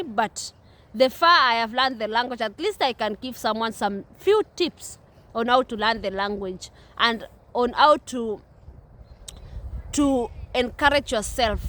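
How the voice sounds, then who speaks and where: High-voiced Kenyan woman vlogging. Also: fairly bright tone. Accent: Kenyan English. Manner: repetitive, earnest, many restarts. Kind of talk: casual talk to camera. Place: outdoors at a playground.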